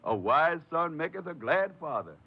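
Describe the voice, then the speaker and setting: Husky, wheedling, pious old American father. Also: fairly high pitch, big pitch swings, moderate pace, heavily acted. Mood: proud, pious. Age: older. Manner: folksy, scripture-quoting, cajoling. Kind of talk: 1950s American radio drama acting. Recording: muffled old radio recording.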